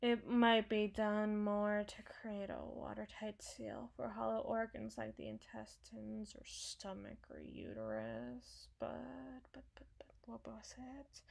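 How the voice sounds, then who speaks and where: A woman's voice: medium pitch, gravelly. A woman playing a brash, sarcastic, protective villain's henchwoman and field medic in an intimate, dramatic audio roleplay. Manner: sardonic, dramatic, swings from hushed muttering to outbursts.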